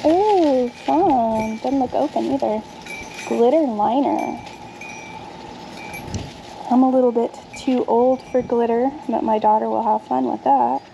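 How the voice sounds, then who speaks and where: A woman's voice: fairly high pitch, takes it slowly, vocal fry, pauses often, background noise. A woman in her thirties chatting casually to camera outdoors, thinking aloud as she sorts her dumpster finds. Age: 30s. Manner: chatty, thinks aloud while sorting items. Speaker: vlogger sorting dumpster finds. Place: outdoors on a backyard patio.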